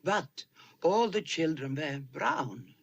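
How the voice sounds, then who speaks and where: Timid Swedish missionary, halting. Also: female voice, sits low, expressive, creaky, breathy edge, muffled recording, full character voice. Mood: nervous, halting. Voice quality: halting, hesitant, many fillers. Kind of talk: film dialogue.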